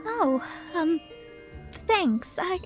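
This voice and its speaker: A woman's voice: extremely high pitch, sing-song, extremely slow, over music, heavily acted. A woman voicing a proud young female astrologist in an anime video game, haughty and formal but easily flustered into stammering.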